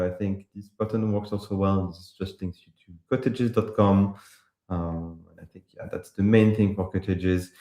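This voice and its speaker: A man from France with a French accent, casually walking through a live software demo, with many pauses and restarts. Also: on the low side, reverberant. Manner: casual, hesitant with frequent uh and restarts. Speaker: presenter demoing apps. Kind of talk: live tech demo walkthrough.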